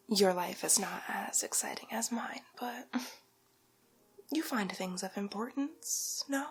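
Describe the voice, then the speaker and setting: A woman playing a haughty, condescending, luxury-obsessed villainess in an ASMR roleplay, with a drawling, theatrical delivery that shifts between breathy whisper and soft creaky speech. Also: medium pitch, a little hiss or hum. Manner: drawling, theatrical, condescending, calls listener darling.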